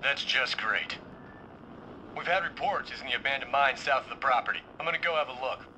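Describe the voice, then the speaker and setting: An actor playing a commanding officer giving curt orders over the radio. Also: male voice, mid-range voice, fairly quick, sharp tone, a little hiss or hum, rough recording.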